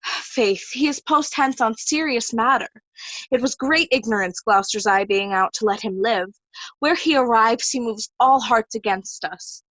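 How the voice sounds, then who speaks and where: A woman's voice: on the high side, normal speed, fairly bright tone, dry recording, poor audio. A woman playing a jealous, scheming noblewoman in an amateur Shakespeare reading, probing and imperious. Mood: suspicious. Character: scheming, jealous noblewoman Regan, coolly commanding. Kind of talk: amateur Shakespeare reader's theatre.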